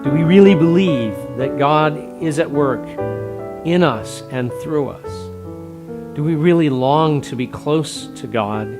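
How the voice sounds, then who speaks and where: A man preaching a stewardship sermon as pastor, measured and plain-spoken, working through numbers aloud. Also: a little high. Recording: music bed underneath.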